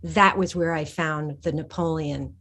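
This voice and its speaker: A woman author in an interview, answering thoughtfully with frequent restarts and pauses. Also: low-pitched, fairly even intonation, fairly bright tone. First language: English. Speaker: historical fiction author, guest.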